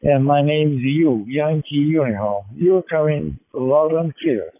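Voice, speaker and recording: A man's voice: a little high, unhurried. A man with a Japanese accent making a courteous, formal ham radio contact over a radio link. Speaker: amateur radio operator. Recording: tinny narrowband digital radio transmission.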